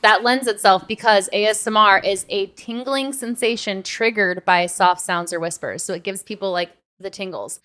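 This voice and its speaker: Young woman, podcast co-host. Clean, smooth mid-range voice, animated conversational delivery with acted-out asides. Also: mid-range voice, normal speed, few pauses. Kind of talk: casual conversational podcast chat.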